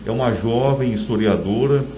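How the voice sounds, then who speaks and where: A man's voice: mid-range voice, moderate pace. A middle-aged man speaks with a Brazilian accent in a conversational interview. He is an author telling stories in a mid-range voice. Some light noise sits under the recording.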